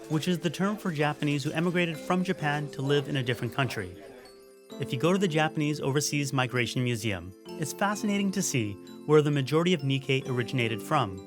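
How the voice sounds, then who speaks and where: A man's voice: fairly high pitch, fast, music in the background. Explanatory male documentary narrator. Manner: explanatory, even. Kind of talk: scripted narration.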